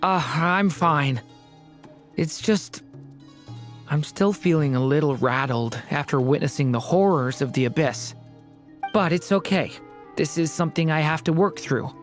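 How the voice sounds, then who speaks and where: A woman playing a gentle, earnest, quietly resilient youth in a video game. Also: deep voice, lively intonation, a little slow, soft background music. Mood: troubled, reassuring. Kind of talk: video game character acting.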